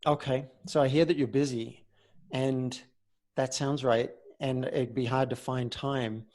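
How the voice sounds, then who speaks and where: A man speaking as a coach in a conversational roleplay, measured and deliberate, often pausing mid-phrase. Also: mid-range voice, flat delivery, darkish tone. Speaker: host, communication coach.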